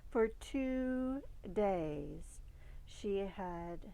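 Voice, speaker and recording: A woman reading a children's picture book aloud in a deliberate way, pausing between short phrases. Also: mid-range voice, animated, creaky, warm tone, poor audio. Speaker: storybook reader.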